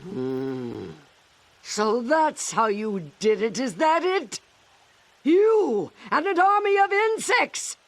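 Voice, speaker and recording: A bombastic fairy-tale queen in a video game. Her high voice swoops widely and she draws out her commands slowly, always imperious and quick to accuse. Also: sing-song, heavily acted. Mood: accusing. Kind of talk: animated game voice acting.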